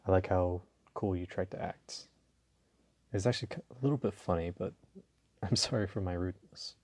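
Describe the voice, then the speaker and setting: A man playing a suave, dominant, flirtatious mafia boss in an intimate audio roleplay, courteous and teasing, with a low husky voice. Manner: slow, teasing, courteous, softly chuckling.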